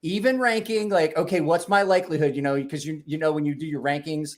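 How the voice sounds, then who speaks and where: A man's voice: fairly high pitch, fairly even intonation, fast talker. Rambling man in casual chat. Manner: rambling with restarts, swears, laughs along. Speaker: guest.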